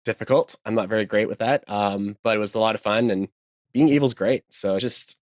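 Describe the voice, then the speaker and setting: A man's voice: on the low side, brisk, darkish tone, no room sound, clean studio sound. A man chatting casually as a podcast guest. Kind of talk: casual chat.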